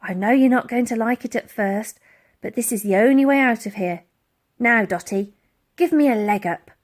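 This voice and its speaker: A woman's voice: fairly high pitch, normal speed, lightly acted. A woman voicing a brave, bossy young girl in a children's adventure story, urgent and commanding.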